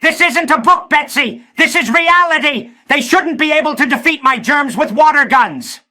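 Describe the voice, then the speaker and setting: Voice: sits high, expressive, moderate pace, sharp tone, heavily acted. An actor voicing a furious, scornful mad scientist in a cartoon comic dub, ranting with sneering sarcasm. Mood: scornful rage.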